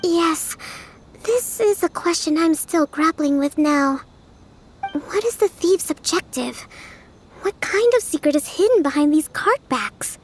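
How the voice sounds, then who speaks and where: A woman voicing a bookish, composed young shrine priestess and strategist in a video game, articulate and quietly confident as she reasons. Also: extremely high pitch, lively intonation, a little slow, a little hiss or hum, full character voice. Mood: analytical.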